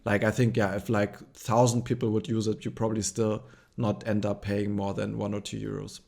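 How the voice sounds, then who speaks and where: A man with a German accent hosting a relaxed screen-share tutorial, thinking aloud with frequent ums and likes. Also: lowish voice, moderate pace, gravelly. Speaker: tutorial host.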